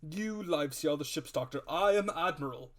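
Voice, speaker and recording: A man voicing a blustering, excitable gentleman squire in a dramatic audiobook, loud, emphatic and exclamatory. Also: a little high, fairly quick, lightly acted. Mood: excited, grandiose.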